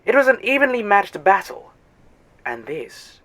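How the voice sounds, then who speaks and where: A man's voice: high-pitched, big pitch swings, unhurried, pushed and bright, exaggerated voice. A man playing a sarcastic, scornful alien. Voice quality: sarcastic, condescending. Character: arrogant sarcastic male alien Eternal guide. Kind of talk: fan audio drama.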